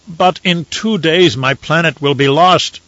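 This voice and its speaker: A man's voice: on the high side, measured pace, a bit bright, no echo, lo-fi sound, pitched above the performer's own voice. A male narrator voicing a desperate ambassador who pleads and protests with urgent indignation.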